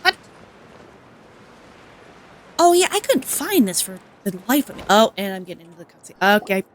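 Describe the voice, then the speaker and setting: A woman's voice: mid-range voice, sing-song, normal speed, slight background noise. A woman giving casual, unfiltered gameplay commentary as a streamer, slangy and sweary. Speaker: game streamer.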